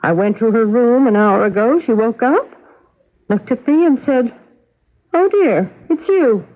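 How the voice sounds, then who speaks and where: An actress in a vintage radio play voicing a gentle, fretful middle-aged mother, fond and coaxing, a little hesitant. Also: fairly high pitch, animated, a little slow. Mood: worried. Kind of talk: old-time radio drama acting. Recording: narrow muffled vintage radio recording.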